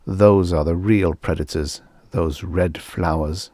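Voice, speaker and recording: A male narrator reads as a thoughtful explorer in a vintage science fiction story. He explains in earnest, with a fairly low voice, recorded dry and close. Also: fairly even intonation, slow, a little mellow, no echo, exaggerated voice. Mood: grave, explaining.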